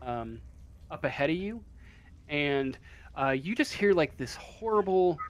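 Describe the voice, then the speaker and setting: A man running a tabletop game as game master, narrating off the cuff in a halting, casual way as he searches for words. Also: on the high side, animated.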